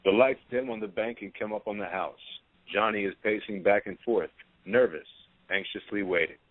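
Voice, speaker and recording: A man's voice: lowish voice, normal speed, no echo. A man narrating a play, reading out stage directions as scripted narration. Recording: dull, narrow phone-like sound.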